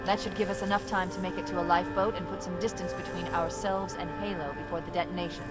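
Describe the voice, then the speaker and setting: An American woman voicing a quick-witted, wry female ship AI with brisk, expressive delivery in a video game cutscene. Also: on the low side, natural voice. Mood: wry, focused. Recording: loud music behind the voice.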